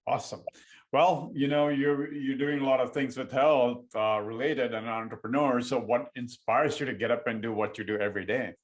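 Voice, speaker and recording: A man's voice: mid-range voice, steady tone, normal speed. Host man asking loose questions. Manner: loose, filler-heavy questions. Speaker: podcast host. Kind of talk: casual interview questions.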